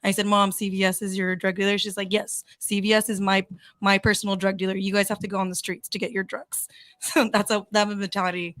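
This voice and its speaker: Chatty woman guest, monotone delivery, dry close sound, lots of fillers and laughs. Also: medium pitch, fairly quick, no echo. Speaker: guest, cannabis cosmetics business. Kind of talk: casual interview chat.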